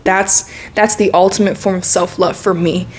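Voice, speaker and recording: A woman's voice: medium pitch, steady tone, moderate pace, slight background noise. Candid young woman vlogging. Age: 20s. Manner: candid, earnest, slangy with frequent laughs. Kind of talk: casual reflective monologue to camera.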